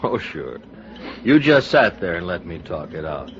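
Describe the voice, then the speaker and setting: A man's voice: sits low, very animated, unhurried, heavily acted. An actor playing a weary, wry man in an old-time radio drama. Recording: muffled old radio broadcast recording.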